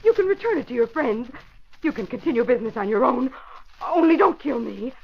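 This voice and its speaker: A woman's voice: high voice, lively intonation, fairly quick, creaky. A woman playing a young, selfish, scheming femme fatale, desperate and melodramatically pleading, in 1940s radio crime drama. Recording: muffled, noisy vintage radio recording.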